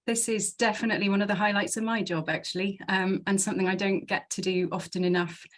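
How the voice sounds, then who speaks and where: A woman's voice: medium pitch, fairly even intonation, fast talker. A woman from South Wales, a guest speaker giving an earnest, conversational talk to a church group and thinking aloud as she goes. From Swansea, South Wales. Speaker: guest speaker from a conservation charity.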